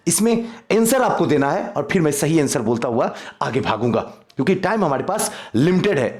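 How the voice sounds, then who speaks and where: A man, a coaching teacher giving an emphatic quiz-style revision lecture straight to his students. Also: on the high side.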